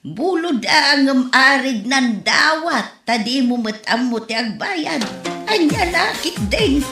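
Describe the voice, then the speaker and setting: A woman's voice: high-pitched, lively intonation, takes it slowly, pushed and bright, faint music behind, heavily acted. A woman playing an exasperated, scolding mother of grown daughters in a comedy audio drama. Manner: nagging, chiding. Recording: echoey, roomy sound.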